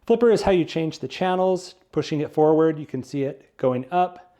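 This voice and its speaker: Mellow, higher-pitched man explaining gear. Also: normal speed, lo-fi sound. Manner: plain, step-by-step explaining. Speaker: product reviewer. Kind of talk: casual explanatory product review.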